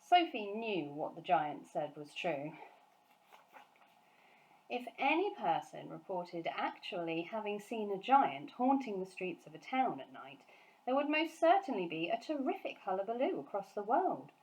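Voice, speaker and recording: A woman reading a children's storybook aloud in an expressive narrating style. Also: mid-range voice, measured pace, rough recording. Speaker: story reader.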